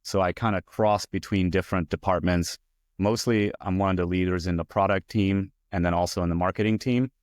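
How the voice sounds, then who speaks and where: Low, flat-voiced Hungarian-born man. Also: fairly quick, no room sound, clean studio sound. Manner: relaxed, rambling with many "like" and "so" fillers. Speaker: guest, VP of user experience. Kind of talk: casual interview talk.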